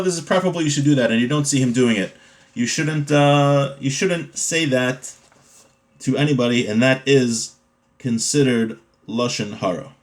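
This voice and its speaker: Male religious teacher, medium pitch, informal lesson style, explanatory, rhetorical questions.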